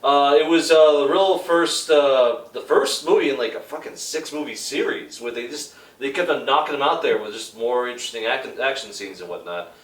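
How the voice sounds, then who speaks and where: A man speaking haltingly as a panelist in a casual debate. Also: medium pitch. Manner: halting, hesitant. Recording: echoey, distant mic, faint noise.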